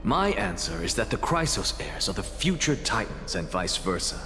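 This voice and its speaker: An actor playing a proud, brilliant scholar in a video game, lecturing in a grandiose, self-assured manner. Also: male voice, lowish voice, big pitch swings, moderate pace. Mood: confident, lecturing. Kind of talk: dramatic video game voice acting. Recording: long reverb, music behind. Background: music.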